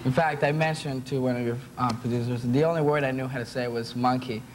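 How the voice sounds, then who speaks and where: A young man from Venezuela chats as a guest on a studio talk show, laughing easily and restarting his sentences. His lapel mic picks up a voice of middle pitch, and the old broadcast carries a steady hiss. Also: fast. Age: young adult. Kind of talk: casual chat. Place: TV studio with audience.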